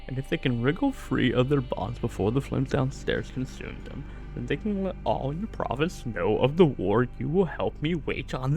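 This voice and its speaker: A man playing a menacing evil sorcerer in a fantasy ASMR roleplay, falsely gentle and condescending, with soft-spoken threats. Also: medium pitch. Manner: slow, silky, condescending, softly threatening.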